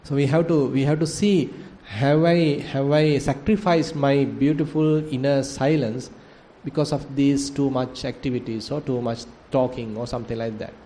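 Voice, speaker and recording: A man with a Sri Lankan accent, a monk teaching in a gentle, hesitant way with soft laughs. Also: mid-range voice, steady tone, normal speed. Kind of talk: dharma discussion. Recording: light background noise.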